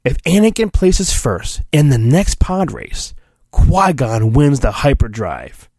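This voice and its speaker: A man's voice: medium pitch, sing-song, a little mellow, no echo, clean studio sound. A man hosting a solo podcast, giving a mock-dramatic reading of a children's storybook with theatrical emphasis. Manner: theatrical, drawn-out emphasis, flippant asides.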